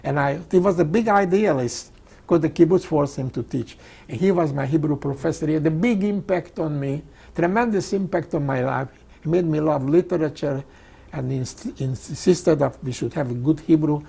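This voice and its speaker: An elderly man, a native Hungarian speaker with a Hungarian accent, telling his life story in an emphatic, halting way, often repeating phrases. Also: on the high side, creaky, dark tone, slightly rough. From Czechoslovakia, Hungarian-speaking. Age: 80s or older. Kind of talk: oral history interview, personal storytelling.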